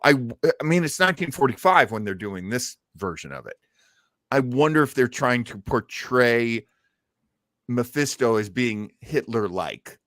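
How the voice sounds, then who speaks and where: A man co-hosting a podcast, chatting casually with a halting, wisecracking manner. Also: medium pitch, lively intonation, a bit bright, no echo, studio quality. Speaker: podcast co-host. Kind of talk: casual comedic commentary chat.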